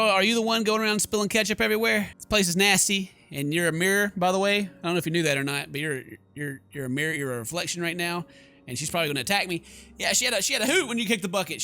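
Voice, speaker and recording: Male let's-play host, theatrical and joking, bright forceful tone, reading and riffing over background music. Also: sits high, clear, resonant tone. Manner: jokey, theatrical. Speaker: gaming video host. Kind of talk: game commentary and reading aloud. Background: game music.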